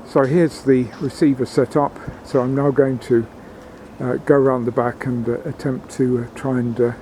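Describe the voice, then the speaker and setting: A man giving a halting, matter-of-fact commentary on a field experiment, recorded outdoors in a garden. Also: medium pitch, little pitch movement, warm tone, rough recording. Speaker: amateur radio experimenter. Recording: outdoor wind and ambient noise.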